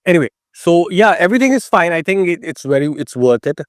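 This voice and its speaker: A man in his thirties with an Indian accent gives a casual, unscripted product review, haltingly, repeating words for emphasis. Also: sits high, very animated, dry recording, clean studio sound. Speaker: motorcycle gear reviewer. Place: outdoors in a garden beside a motorcycle.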